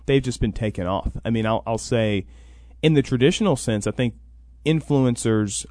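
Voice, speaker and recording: Man, mid pitch, interview guest, conversational, explains with quoted examples, dry sound. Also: normal speed, a little mellow, no echo. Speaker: interview guest, college NIL program coordinator. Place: radio sports talk show.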